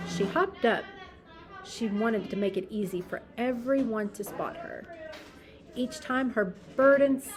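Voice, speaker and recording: Woman, children's story read-aloud, slow pace, mid-range pitch, expressive, deliberate emphasis. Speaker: storybook reader.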